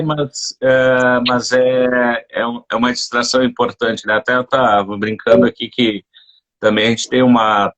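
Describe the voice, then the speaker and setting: Man, live stream host, casual interviewer, loose and chatty, fillers everywhere, mid pitch. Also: dull audio. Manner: relaxed, informal, many fillers like "né".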